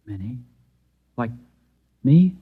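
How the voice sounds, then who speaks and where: An actor playing an earnest, bewildered Martian trapped in a husband's body, halting yet insistent, in a mid-century radio drama. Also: male voice, medium pitch, very animated, slightly rough, heavily acted. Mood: earnest, hopeful. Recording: dull lo-fi old radio recording.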